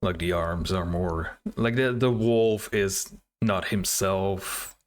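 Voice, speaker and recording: A man's voice: deep voice, takes it slowly. A man hosting a reaction video, commenting casually and thinking aloud in an informal, easily amazed way.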